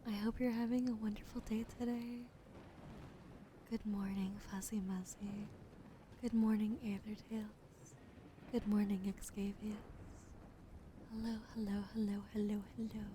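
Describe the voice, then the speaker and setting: A woman streamer chatting with viewers during an ASMR livestream, often whispering softly, close to a sensitive studio mic. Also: medium pitch, little pitch movement, a little hiss or hum. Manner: often whispering, soft and unhurried. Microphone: sensitive studio mic on a boom arm.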